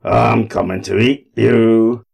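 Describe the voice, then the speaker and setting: A man voicing a menacing, relentless man-eating giant in a folk tale, loud and drawn out. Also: steady tone, vocal fry, husky, heavily acted. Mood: menacing, hungry. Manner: booming, slow, drawn-out menace.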